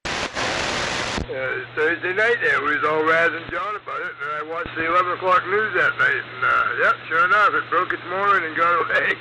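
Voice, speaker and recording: High, gravelly man over heavy noise. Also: fairly quick. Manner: folksy, teasing. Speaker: CB radio operator streaming live. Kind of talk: casual storytelling banter.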